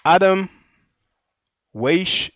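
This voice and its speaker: A man's voice: high-pitched, animated, extremely slow, no echo, lo-fi sound. A man with a French accent, whose first language is French, giving a sarcastic, combative video commentary in loose street slang while reading from a book and a website.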